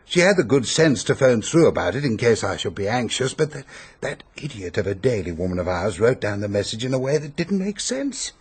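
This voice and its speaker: Pompous, husky, upper-class English gentleman, peevish, self-pitying, very animated, radio drama. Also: medium pitch, a little fast. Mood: grumbling. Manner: blustering, indignant, complaining.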